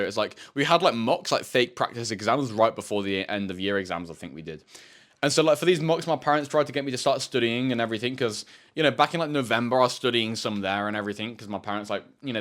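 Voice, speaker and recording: A seventeen-year-old man telling a rambling, casual story, with a fairly deep voice, swearing often and saying "bro" and "like" a lot. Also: a bit bright. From United Kingdom. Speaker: self-improvement YouTuber.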